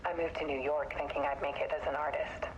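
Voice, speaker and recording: An actress playing a haunted, weary photographer who gives a subdued, halting, somber confession in a dramatic video game monologue. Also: female voice, low-pitched, flat delivery, close to an everyday voice. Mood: wistful. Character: haunted woman photographer recounting her troubled relationship, weary and bitter. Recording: dull lo-fi sound with clear noise.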